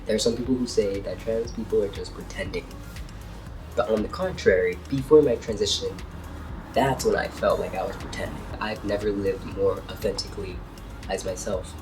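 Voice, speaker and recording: A man's voice: fairly low pitch, fairly even intonation, normal speed. A man in his twenties with a cold and a congested voice, earnestly talking through personal experiences in a vlog. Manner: earnest, heartfelt, reflective. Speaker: vlogger. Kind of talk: personal vlog talk to camera. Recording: distant mic with soft music behind.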